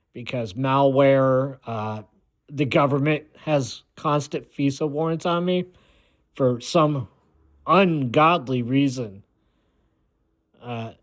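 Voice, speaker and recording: An American man giving a rambling, opinionated monologue in a blunt, dismissive way, often halting and repeating himself. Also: medium pitch. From United States. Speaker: video maker.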